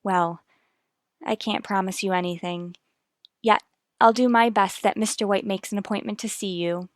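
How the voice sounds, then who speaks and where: A woman's voice: medium pitch, steady tone, normal speed, no room sound, close to an everyday voice. Woman playing worried determined mother, earnest, formal, firm, 1950s radio drama, dry close sound. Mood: polite, uneasy. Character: earnest, anxious but determined wife and mother. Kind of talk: 1950s radio soap acting.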